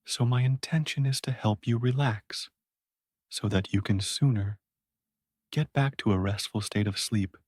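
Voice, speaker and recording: A man speaking slowly and softly as he guides a sleep meditation, with long soothing pauses. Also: on the low side, breathy edge, no room sound, clean studio sound.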